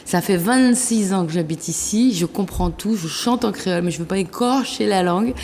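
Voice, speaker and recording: A woman's voice: mid-range voice, normal speed, darkish tone. A woman in her fifties from Réunion, chatting as a guest in an interview and laughing often. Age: 50s. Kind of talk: casual interview chat. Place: TV studio. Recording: reverberant room with faint noise.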